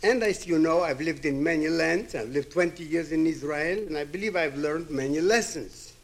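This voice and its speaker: A man's voice: on the high side, moderate pace. A man in his seventies from Ukraine, a native Hebrew and Yiddish speaker, giving a reflective public address in an emphatic way, with rhetorical questions and repetition. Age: 70s.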